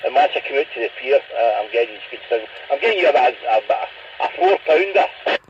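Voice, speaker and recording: A man's voice: mid-range voice, sing-song, fairly quick. Scottish man on noisy, muffled CB radio. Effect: CB radio transmission. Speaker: mobile CB radio operator. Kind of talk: casual radio chat. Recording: dull, noisy CB radio link.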